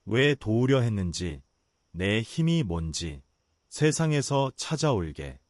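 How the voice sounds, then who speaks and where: Kind young tiger in a bedtime storybook, low and earnest, lively pitch, dry close sound , voiced by a man. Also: takes it slowly, no echo. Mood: gentle. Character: gentle young male tiger, kind and calm. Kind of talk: storybook voice acting.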